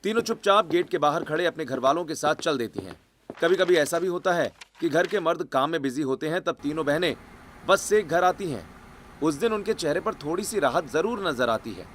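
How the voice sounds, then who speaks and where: A man's voice: fairly high pitch, expressive, brisk, a little hiss or hum. A man reading out scripted story narration.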